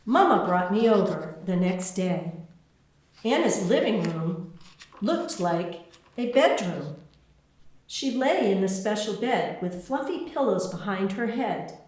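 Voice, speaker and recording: A woman reading a children's storybook aloud as its author, with deliberate, expressive phrasing. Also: mid-range voice. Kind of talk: scripted storybook narration. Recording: very echoey, roomy sound.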